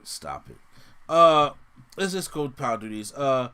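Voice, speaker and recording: Animated man chatting wrestling picks. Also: measured pace, clean tone. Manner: animated, lots of restarts and sudden pitch jumps. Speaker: podcast co-host. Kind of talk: casual wrestling predictions chat.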